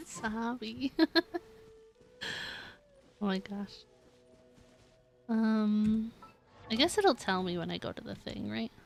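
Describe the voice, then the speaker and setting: A woman's voice: on the high side, lively intonation, takes it slowly, gravelly, pauses often, soft background music. A woman chatting casually and playfully on a gaming livestream, giggly and given to exclamations. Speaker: game live streamer.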